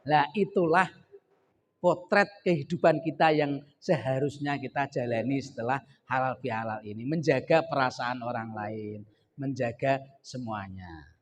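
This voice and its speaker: A man's voice: medium pitch, measured pace. A man with a Javanese accent preaching a sermon, repeating key words for emphasis and joking with the audience. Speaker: Islamic preacher. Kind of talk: sermon with comic story. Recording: echoey hall, faint noise.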